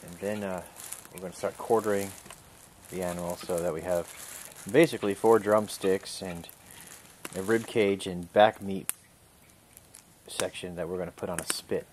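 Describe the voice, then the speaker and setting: A man calmly instructing a student step by step during an outdoor survival skills demonstration, recorded on a camera mic. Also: deep voice, normal speed, pauses often, slight background noise. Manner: calm, instructive, talks through each step. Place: outdoors in a wooded clearing.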